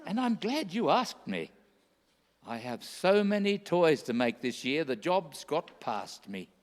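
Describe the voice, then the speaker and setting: An actor playing a kindly, bustling old Santa Claus in a radio play, hearty and chatty in rhyming verse. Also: male voice, on the high side, very animated, unhurried. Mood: eager, bustling. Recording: echoey hall with long reverb.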